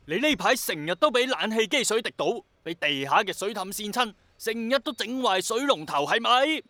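An idealistic young Hongkonger in a radio play. His fairly high voice carries a Hong Kong Cantonese accent and a passionate, persuasive earnestness. The sound is very dry, like a studio. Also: animated, moderate pace, bright, forceful tone, no echo. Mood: earnest, excited. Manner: passionate, persuasive, romantic-minded. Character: earnest dreamy young man obsessed with bringing back rain. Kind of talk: Cantonese radio drama acting.

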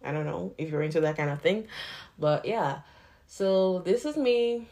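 A woman's voice: fairly low pitch, normal speed, a little mellow. A woman in her late twenties with a Nigerian accent that drifts toward Canadian, chatting candidly and rambling on her own podcast. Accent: Nigerian mixed with Canadian. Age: 20s. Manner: rambling and candid, with laughs and swearing. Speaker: podcast host. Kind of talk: casual solo podcast chat.

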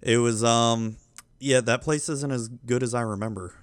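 A man's voice: medium pitch, fairly even intonation, normal speed, clear, resonant tone, no room sound. A man in his thirties, a podcast co-host with kids, chatting casually and telling rambling, profane stories. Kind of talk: casual chat.

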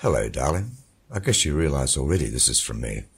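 A sardonic dead husband taunts his widow, as a man voices him. His very deep voice swings widely in pitch and grinds with gravel. A breathy, husky edge hangs under the warm, controlled delivery. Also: very animated, brisk, exaggerated voice. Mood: mocking. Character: mocking dead husband, middle-aged man, cynical.

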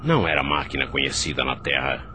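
A Brazilian man playing a doctor's spirit recounting his arrival in the afterlife in measured, formal radio drama narration. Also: very deep voice, animated, pushed and bright, a little hiss or hum, exaggerated voice. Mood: measured recollection.

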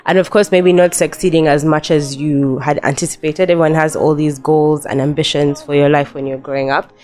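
A woman's voice: low-pitched, steady tone, fairly quick, darkish tone. Giggly young woman with a nasal cold. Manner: giggly, chatty, laughs often. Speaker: podcast guest. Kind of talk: casual podcast chat.

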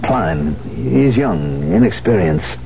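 Brooding veteran pianist, mellow, muffled. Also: male voice, deep voice, animated, slow pace, vocal fry, heavily acted. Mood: brooding, wondering. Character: brooding veteran concert pianist, obsessed and musing. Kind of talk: 1970s radio drama acting. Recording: muffled, noisy 1970s radio recording.

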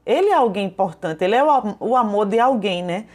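A woman's voice: medium pitch, expressive, brisk, darkish tone. Paraíban woman in her thirties telling stories. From João Pessoa, Paraíba, Brazil. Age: 30s. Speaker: businesswoman. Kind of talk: interview testimony.

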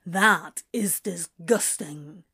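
Brief: blunt northern man, sardonic and flirty, voiced by a woman, dropped pitch. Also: drawn-out words, bright, forceful tone, full character voice. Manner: blunt, flirty, rough-edged.

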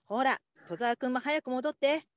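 A woman playing a brisk, encouraging office team leader in a radio drama, chivvying and upbeat. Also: sits high, a little hiss or hum, natural voice.

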